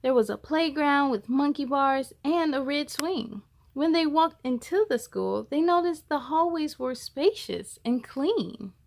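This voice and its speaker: A woman reading a children's picture book aloud in an expressive, measured storytelling style. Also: high-pitched, vocal fry, a little hiss or hum.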